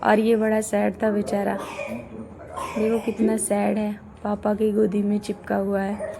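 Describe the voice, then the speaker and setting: Hoarse woman chatting in a vlog. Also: medium pitch, monotone, unhurried, noisy background. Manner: homely, chatty, addresses viewers as friends. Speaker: vlogger. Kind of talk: chatty travel vlog narration.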